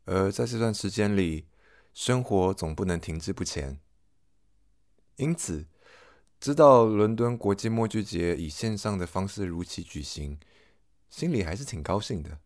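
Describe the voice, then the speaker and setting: A man's voice: low voice, lively intonation, measured pace, a little mellow, dry recording, clean studio sound. A man podcast host delivering a scripted, reflective personal monologue.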